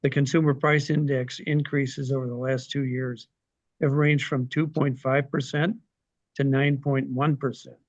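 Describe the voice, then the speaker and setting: A man's voice: mid-range voice, no room sound. An older man moderating a candidate forum over a video call, reading prepared questions aloud with frequent hesitations. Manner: reads questions aloud with frequent ums and uhs. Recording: dull dry video-call audio.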